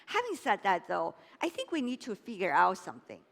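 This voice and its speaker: Lively woman moderator with animated pitch. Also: a little fast, gravelly, in a large hall. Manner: lively, encouraging, frequent affirming hums. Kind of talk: moderating a panel discussion.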